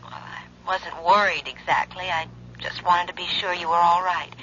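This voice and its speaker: Secretive young wife on a phone call, tense bright tone, period radio. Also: female voice, fairly low pitch, flat delivery, moderate pace. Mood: anxious. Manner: hesitant over the phone. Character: young wife who has left home, anxious and secretive. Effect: telephone voice. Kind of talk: 1970s radio acting. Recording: dull old radio broadcast, faint hiss.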